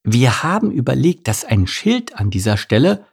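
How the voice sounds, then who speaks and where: A man's voice: mid-range voice, very animated, normal speed, gravelly, husky, heavily acted. A man voicing an easygoing, slightly fussy male hedgehog in a children's story, mild and deliberating. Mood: pondering.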